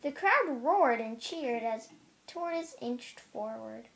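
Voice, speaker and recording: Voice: mid-range voice, animated, slow, vocal fry, a bit bright. A young reader reading a children's picture book aloud, carefully, with the occasional stumble. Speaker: storybook reader.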